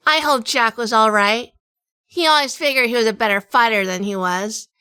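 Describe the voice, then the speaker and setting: A woman playing a wry, tough female sleuth in a hardboiled noir audio drama, telling it in measured, dramatic fashion. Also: a little high, bright, forceful tone, clean tone, dry recording. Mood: tense. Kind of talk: first-person noir audio drama narration.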